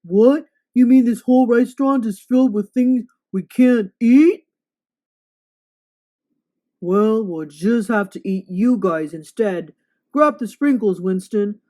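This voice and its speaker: A woman voicing a big, grumpy, childish, hungry monster for a children's storybook, with blunt, booming exclamations. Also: takes it slowly, mellow. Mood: grumpy, menacing.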